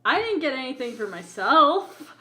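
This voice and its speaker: A woman with a high-pitched voice chats as a podcast co-host. She tells stories casually, in a playful, teasing manner, dropping "like" into her sentences. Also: sing-song, moderate pace, bright, forceful tone. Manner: playful, teasing, often says "like". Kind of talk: casual chat, animated storytelling.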